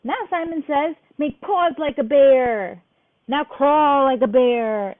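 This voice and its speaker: A woman's voice: high-pitched, slow pace. A woman leading a game for small children, playfully addressing them directly as she gives instructions. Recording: thin phone-like audio.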